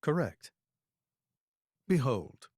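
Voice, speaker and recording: An actor playing a grave, formal old male leader in a video game, speaking in a measured, solemn way. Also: mid-range voice, very animated, darkish tone, slightly rough, no echo, clean studio sound, full character voice. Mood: calm, formal. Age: older. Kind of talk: video game cutscene acting. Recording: music playing behind the voice.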